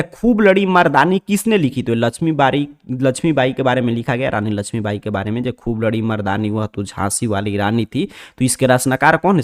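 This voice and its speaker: A man with a Bihari accent teaching exam prep, reading out questions and explaining each answer in a formulaic, instructional way. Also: mid-range voice.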